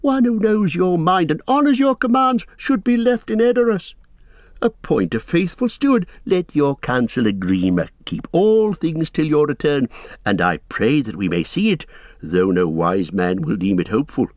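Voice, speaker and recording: A man's voice: a little high, very animated, moderate pace, a little mellow, dry recording, voice pushed up. A man voicing a cringing, sly royal counsellor in a fantasy storybook, whining and wheedling with obsequious politeness. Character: cringing, servile, scheming male royal counsellor, sly and pleading.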